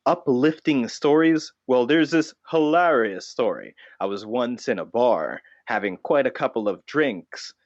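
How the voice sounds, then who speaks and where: A man's voice: medium pitch, expressive, a little slow, hardly any pauses, no room sound, lo-fi sound. A man playing a self-serious, defensive vigilante notebook who dresses as a bat, in an improvised comedy interview. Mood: solemn. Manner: solemn, defensive, callously self-justifying.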